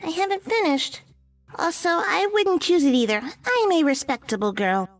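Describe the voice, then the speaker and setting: A woman's voice: extremely high pitch, expressive, moderate pace, faint music behind. A woman voicing a bossy, prim, teasing young witch girl in a visual novel game.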